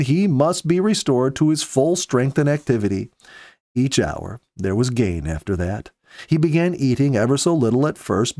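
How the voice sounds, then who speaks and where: A man in his fifties reading a story aloud in measured, earnest storybook narration, close to the microphone. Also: medium pitch, darkish tone, no echo. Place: small indoor home study. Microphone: close studio microphone with pop filter.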